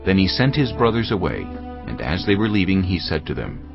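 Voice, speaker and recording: Male narrator, deep voice, animated intonation, dramatic scripture reading, music bed, slightly dull audio. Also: fairly quick, creaky.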